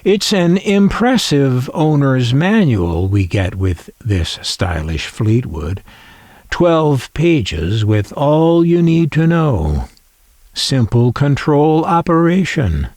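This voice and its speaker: A man speaks slowly and lingers on each detail, his pitch rising and falling in a lively way. He shows off a collectible with dry, wry humor. The recording is close and dead, with no room sound. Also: no echo. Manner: dry, wry asides while reading aloud from a manual. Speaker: collector video host. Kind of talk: unhurried descriptive show-and-tell.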